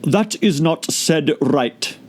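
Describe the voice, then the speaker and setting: A man voicing a haughty, languid caterpillar in an audiobook, drawling slowly and speaking with curt, dismissive contempt. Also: a little high, animated, a little hiss or hum, pitched above the performer's own voice. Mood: contemptuous, curt. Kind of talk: audiobook character voice.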